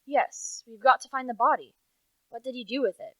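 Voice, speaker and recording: A woman's voice: high-pitched, normal speed, fairly bright tone, no room sound, rough recording. Young woman as an emphatic investigator, dry sound. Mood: urgent, grim. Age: young adult. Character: earnest investigator puzzling over a murder. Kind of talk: dramatic radio play dialogue.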